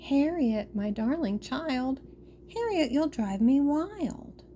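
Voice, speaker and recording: A woman voicing a loving but exasperated storybook mother, speaking in a drawn-out, restrained singsong. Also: high voice, animated, dark tone, slight background noise, pitched above the performer's own voice. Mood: mounting exasperation. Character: loving, exasperated mother of a pesky small child.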